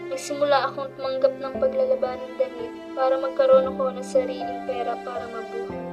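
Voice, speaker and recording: A woman's voice: high voice, fairly quick, muffled recording, loud music behind the voice. A woman with a Filipino accent playing a troubled, orphaned teenage girl who narrates her life in a radio drama. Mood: somber, reflective.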